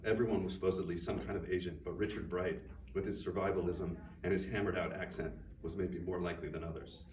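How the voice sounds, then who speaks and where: A man with a very low voice gives a measured, quiet reading of his own fiction. He stands back from the microphone in a bookstore, so the sound is roomy and distant. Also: steady tone. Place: bookstore event room. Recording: roomy, distant, faint noise.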